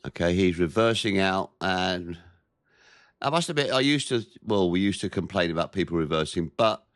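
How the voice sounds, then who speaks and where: A man with a head cold, sounding nasal and snotty, giving steady play-by-play commentary over a naval battle game. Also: low-pitched, no room sound, studio quality. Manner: measured, plain-spoken play-by-play. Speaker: gameplay commentator.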